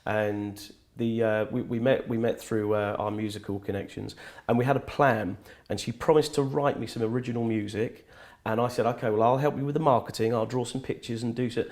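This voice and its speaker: Gravelly, halting man. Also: mid-range voice, steady tone, a little mellow. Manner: hesitant, many restarts. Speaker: guest. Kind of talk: interview chat.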